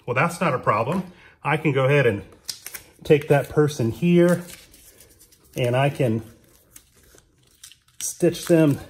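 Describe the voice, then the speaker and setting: A man giving a plain, steady whiteboard-style explanation while drawing on sticky notes at a desk. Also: a little high.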